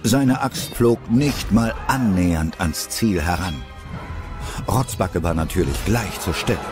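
A man's voice: low-pitched, lively intonation, unhurried, music in the background. A man narrating a scripted story as the narrator of an audio drama.

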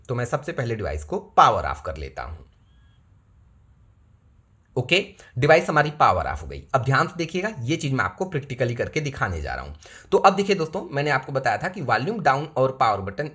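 A man's voice: medium pitch, a bit bright, husky. A man hosting a step-by-step phone repair tutorial, talking to viewers as friends and repeating each step. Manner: repetitive, addresses viewers as friends. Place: indoors at a table, hands-only camera view.

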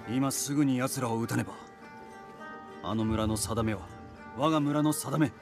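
An actor playing a stern, dutiful young samurai in a game, with terse, solemn, archaic warrior speech. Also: male voice, medium pitch, moderate pace, music in the background, natural voice. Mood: resolute. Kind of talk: period-drama game voice acting.

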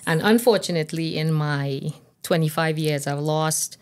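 An older woman speaks in a notably low voice. She explains things in a measured, conversational way, as a medical guest answering interview questions. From Trinidad and Tobago. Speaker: guest pediatrician. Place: small indoor podcast studio. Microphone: boom-arm podcast microphone.

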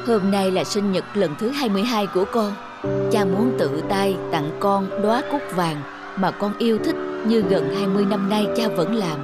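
An actor playing a gentle, doting elderly working-class father in a cartoon drama, speaking in a tender, plain, unhurried way. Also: high voice, loud music behind the voice, natural voice. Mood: loving.

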